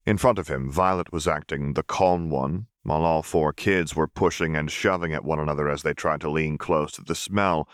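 Low male audiobook narrator, calm and dry. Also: clean tone, no room sound. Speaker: audiobook reader. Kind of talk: scripted narration.

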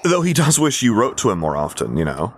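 Man voicing a higher-pitched, cheeky, earnest young man. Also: huge pitch swings, brisk, slight background noise. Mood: earnest, teasing. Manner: playful, blunt, sincere. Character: bright, teasing, blunt, earnest young man.

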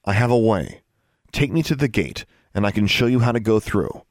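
A man's voice: lowish voice, expressive, moderate pace, no echo, clean studio sound. A man voicing a bold, stubborn thirteen-year-old witch in an audiobook story, defiant and insistent. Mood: firm.

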